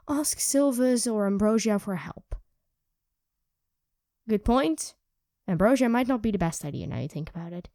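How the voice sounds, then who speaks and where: A woman voicing an irritable young female vampire who craves blood, speaking in a sharp, sarcastic way and often dropping to a whisper. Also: fairly high pitch, dry recording, studio quality. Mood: evasive, then snappish. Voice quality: drops into soft whispers. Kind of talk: ASMR roleplay.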